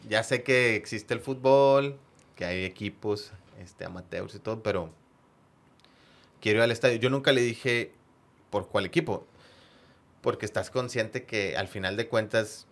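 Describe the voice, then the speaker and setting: Man, northern Mexican accent, lowish voice, casual anecdotal chat, halting, repetitive phrasing, podcast guest. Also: gravelly. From Monterrey area, northern Mexico. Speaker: podcast guest, football fan.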